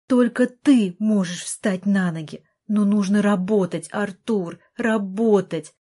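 A woman's voice: medium pitch, slow pace, mellow, breathy edge. Audiobook role: reproachful, desperate adult sister, emphatic and raised, voiced by a female reader. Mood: pleading, urgent. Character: exasperated, pleading adult sister fighting for her brother.

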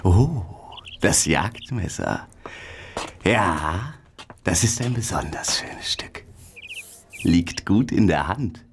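An actor playing an obsequious, flattering Victorian hardware shopkeeper in a radio play, drawing out his words servilely. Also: deep voice, takes it slowly, pauses often, a little hiss or hum, exaggerated voice. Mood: hushed, oily.

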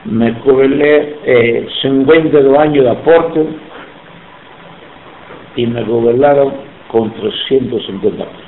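A man's voice: medium pitch, measured pace, echoey room, phone-quality sound, a little hiss or hum. A man in his seventies from Sicily, speaking Spanish with an Italian accent in an oral history interview, emphatic and indignant. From Sicily, Italy. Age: 70s.

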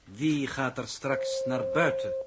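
A cool male mission coordinator, formal, deliberate and suspicious, in a medium-pitched voice. It sounds like 1960s radio drama with heavy noise on it. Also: huge pitch swings. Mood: cool, grave. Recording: noisy old radio drama recording.

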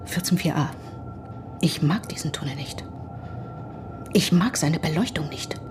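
A woman's voice: sits low, measured pace. A fretful, anxious woman, music behind. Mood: fearful, repelled. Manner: fretful inner monologue, often dropping to a whisper. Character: anxious, irritable mother dreading travel and human contact. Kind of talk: German radio drama acting.

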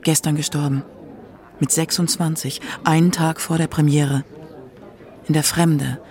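Higher-pitched male radio feature narrator. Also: little pitch movement, a little slow, darkish tone. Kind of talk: scripted radio documentary narration. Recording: music under the voice. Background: music.

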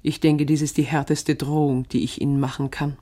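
Low, mellow, witty 18th-century woman. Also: flat delivery, brisk. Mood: playful, affectionate. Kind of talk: dramatized letter reading, mid-century German radio acting.

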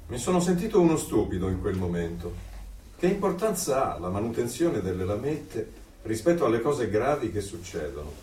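A man's voice: lowish voice, big pitch swings, moderate pace, darkish tone. An actor playing a troubled man who narrates his own story in a radio drama, reflective and confessional. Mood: self-reproachful. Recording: echoey, slightly distant.

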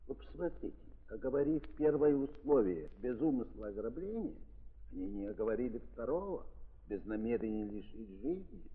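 An actor playing a formal, concerned presiding judge reasoning with colleagues in 1930s radio drama style. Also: male voice, medium pitch, lively intonation, moderate pace, close to an everyday voice. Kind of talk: 1930s radio theatre dialogue. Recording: tinny 1930s recording with long reverb.

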